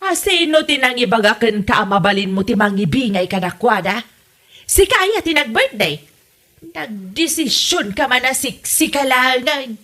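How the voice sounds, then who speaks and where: A woman's voice: a little high, very animated, moderate pace, sharp tone. A woman playing a stingy, grumbling older mother-in-law in a comedy audio drama, scolding and begrudging as she talks. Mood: grudging, scolding. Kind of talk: comedy radio drama acting.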